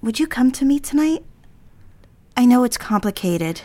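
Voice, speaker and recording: An actress playing a conflicted, lovestruck young bride-to-be in a romantic period audio drama, speaking earnestly in measured, formal phrases. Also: female voice, on the high side, lively intonation, warm tone, natural voice. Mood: tender, yearning.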